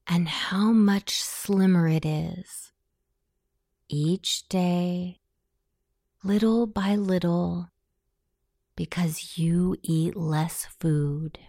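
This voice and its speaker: Female meditation guide, soothing and extremely unhurried, mellow tone, close dry sound. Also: on the low side, steady tone, dry recording. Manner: soothing, measured, long pauses between phrases. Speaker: hypnosis session guide. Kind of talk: guided meditation, self-hypnosis narration.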